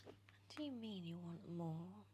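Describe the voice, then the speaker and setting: A British woman reading a storybook in ASMR style, drifting between soft whispers and expressive, animated speech. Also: on the low side, moderate pace, slight background noise. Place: on a sofa indoors.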